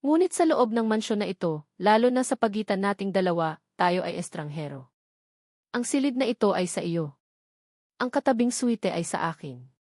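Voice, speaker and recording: A woman's voice: mid-range voice, expressive, normal speed, long pauses, a little mellow, dry recording, clean studio sound, close to an everyday voice. A woman voicing a cold, controlled rich husband in an audio drama, giving curt, businesslike orders without emotion.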